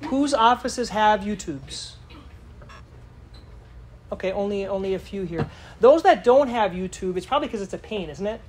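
A woman's voice: medium pitch, expressive, moderate pace, long pauses, a little mellow, a little hiss or hum. A woman giving a live lecture to a conference audience in a casual, chatty way, often asking the room questions. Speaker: conference speaker.

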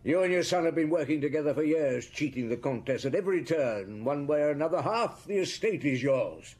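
An actor playing a shrewd, world-weary police chief inspector in British radio drama, measured and probing, with a British accent. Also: male voice, fairly high pitch, lively intonation, a little mellow, husky. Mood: accusing, shrewd. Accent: British English.

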